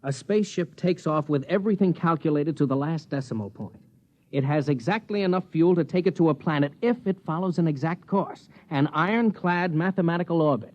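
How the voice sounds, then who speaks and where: An actor playing a devoted but stubborn rocket pilot husband in 1950s American radio drama style. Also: male voice, fairly high pitch, a little fast, darkish tone, lightly acted. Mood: earnest, explanatory. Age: adult. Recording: muffled vintage 1950s radio recording.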